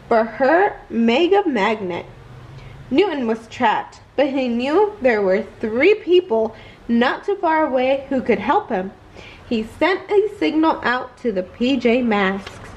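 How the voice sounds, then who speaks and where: Animated, high-voiced woman reading a story. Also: rough recording. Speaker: children's story reader. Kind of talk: expressive bedtime read-aloud. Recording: clear background noise.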